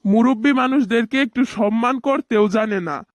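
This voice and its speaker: An older village uncle from a cartoon acted by a man, grumbling and quick to take offence. The voice is very high and dry, and he scolds indignantly in folksy speech. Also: no echo. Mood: indignant scolding. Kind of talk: cartoon voice acting.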